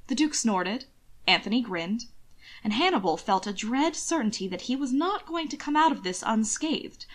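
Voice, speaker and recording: A woman's voice: a little high, lively intonation, normal speed. A woman reading scripted fiction aloud as the narrator of an amateur audiobook.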